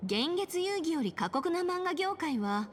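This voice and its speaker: A woman's voice: very high voice, brisk, a little hiss or hum. An actress playing a bubbly, theatrical young anime girl in a video game, telling stories playfully. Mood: storytelling. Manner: theatrical, expressive, cutesy. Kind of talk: Japanese anime game voice acting. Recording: game audio with music behind.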